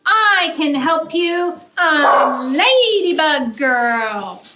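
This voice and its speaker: A woman's voice: sits high, sing-song, drawn-out words, pitched above the performer's own voice, heavily acted. A woman voicing a plucky, imaginative little girl who plays superhero in a children's storybook, exclaiming with boastful eagerness. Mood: confident, helpful. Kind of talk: children's storybook read-aloud. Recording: roomy echo, faint noise.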